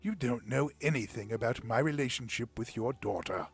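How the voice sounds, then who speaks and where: A man's voice: medium pitch, lively intonation, normal speed, gravelly, slightly rough, faint music behind. An actor playing a defensive, sarcastic lawyer sparring with his mother-in-law in a drama, incredulous in manner. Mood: irritated. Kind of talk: soap-style drama dialogue.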